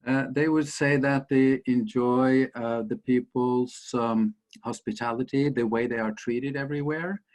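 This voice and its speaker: A man's voice: mid-range voice, steady tone, measured pace, hardly any pauses, darkish tone. A man from Norway speaking as a guest author, telling stories conversationally and often quoting people as he goes. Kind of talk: conversational storytelling at a book talk.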